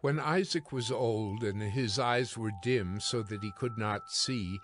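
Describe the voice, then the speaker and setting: A man's voice: on the low side, unhurried, slight background noise. A man narrating dramatized scripture as a scripted storytelling reading.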